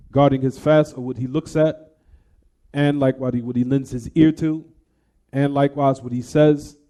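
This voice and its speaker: A man's voice: medium pitch, dark tone. A man teaching religion in an Islamic lecture, explaining point by point with repetition and weaving Arabic terms and formulas into his speech. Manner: didactic, repeats and restates points.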